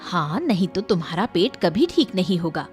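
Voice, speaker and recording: A woman's voice: lowish voice, very animated, moderate pace, darkish tone, faint music behind. A woman playing an insistent, caring cartoon wife. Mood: firm, insistent. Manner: insistent, scolding yet caring. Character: firm, caring wife nagging her ailing husband. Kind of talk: cartoon story acting.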